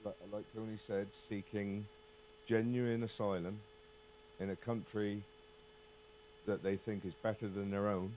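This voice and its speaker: A man's voice: on the low side, little pitch movement, measured pace, mellow, slight background noise, poor audio. A man with an English accent, a county councillor making pointed, questioning remarks in a casual political discussion.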